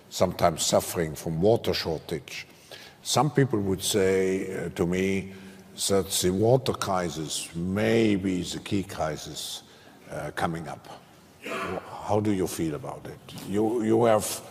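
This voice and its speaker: A man's voice: deep voice, darkish tone. A man interviewing on stage, asking formal questions with some hesitation. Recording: long hall reverb.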